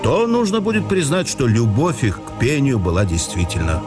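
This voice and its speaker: A man narrating a memoir in a measured storytelling manner for a radio production. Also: medium pitch, over loud music. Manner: measured storytelling with lively intonation.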